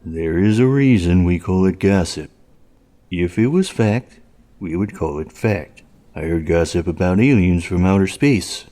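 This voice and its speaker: A very low, mellow male voice for a small-town police officer acted by a man in an audio drama. He is measured and dry, with a stolid, philosophical air. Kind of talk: scripted audio drama acting.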